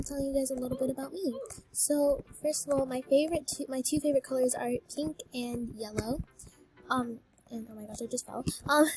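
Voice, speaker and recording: A teenage girl chatting casually while she plays a game, high-pitched and bubbly, with lots of ums. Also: darkish tone, low-quality recording. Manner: bubbly, full of filler ums, exclaims at game fails. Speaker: gaming YouTuber. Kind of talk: casual voice-reveal chat while gaming.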